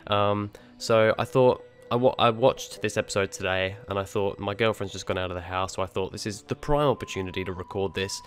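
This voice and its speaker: Young man, slightly nasal, recovering from illness, casual rambling review, low-pitched, soft background music. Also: fairly even intonation, brisk, hardly any pauses. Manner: chatty, rambling, many ums and restarts. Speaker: video reviewer.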